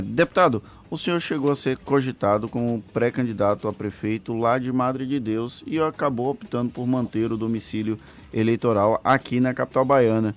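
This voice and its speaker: A man working as a radio journalist, asking formal, analytical questions in an interview. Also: mid-range voice.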